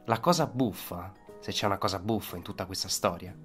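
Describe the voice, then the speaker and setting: A man with a fairly low voice tells a story as scripted radio narration. His delivery is solemn and dramatic, built on short, deliberate phrases and emphatic lists. An instrumental guitar soundtrack plays behind him. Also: a bit bright.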